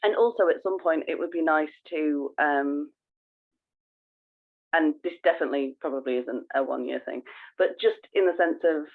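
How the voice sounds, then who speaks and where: A woman co-hosting a casual podcast, halting and earnest as she thinks aloud. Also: low-pitched. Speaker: podcast co-host. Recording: tinny, phone-like narrow band.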